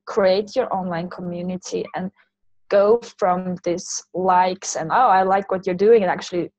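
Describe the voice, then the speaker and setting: A woman's voice: fairly low pitch, steady tone, a little slow, darkish tone, no room sound, low-quality recording. A woman from Sweden, speaking loosely phrased second-language English as a guest in a casual interview. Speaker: interview guest, online language teacher and entrepreneur.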